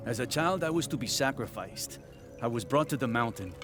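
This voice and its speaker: A man's voice: medium pitch, lively intonation, fairly quick, music in the background, natural voice. An actor playing an eccentric outcast man who believes himself dead, in a video game. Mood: wistful, solemn.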